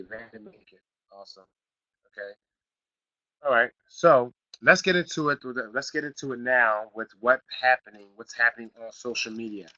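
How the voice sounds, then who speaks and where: A middle-aged man from Philadelphia hosting a live online marketing class, slightly raspy from a sniffle, repeating himself and building to emphatic points. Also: mid-range voice, bright, forceful tone, no echo, dull audio. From Philadelphia, Pennsylvania. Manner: repetitive, restarts phrases, builds to emphatic points. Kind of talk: live teleseminar class, casual coaching.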